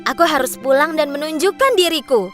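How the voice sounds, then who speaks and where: A woman's voice: sits high, animated, a little fast, soft background music, pitched above the performer's own voice. A woman voicing an earnest, wistful young duckling with childlike wonder in a children's storybook. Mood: amazed.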